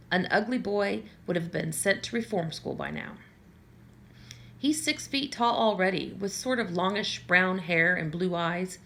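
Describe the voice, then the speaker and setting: A woman's voice: lowish voice, vocal fry, a bit bright, slight background noise. Woman reading a novel aloud. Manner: conversational, with small slips and self-corrections. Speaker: audiobook reader. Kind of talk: first-person novel reading.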